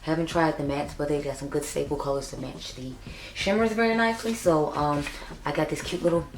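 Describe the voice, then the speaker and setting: A young woman with a raspy voice, chatting casually and upbeat as she reviews makeup for her vlog. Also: low voice, brisk, background noise. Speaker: beauty YouTuber. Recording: echoey room.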